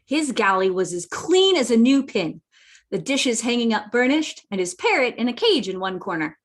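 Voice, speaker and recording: A woman plays an earnest boy telling his sea adventure in a radio play. The narration is animated, with wide pitch movement. It sits a little on the high side. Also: normal speed. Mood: earnest, storytelling.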